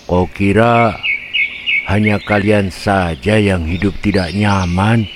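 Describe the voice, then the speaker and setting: A man's voice: low-pitched, steady tone, slow, background noise. A man voicing a stubborn elephant who argues back defensively in a children's fable. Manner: defensive, retorting.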